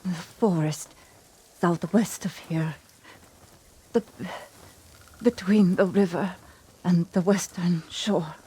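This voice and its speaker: Slow, mellow, solemn villager. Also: female voice, fairly low pitch, a little hiss or hum. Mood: solemn, wary. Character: solemn local village woman recounting dark rumors. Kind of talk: dramatic video game acting.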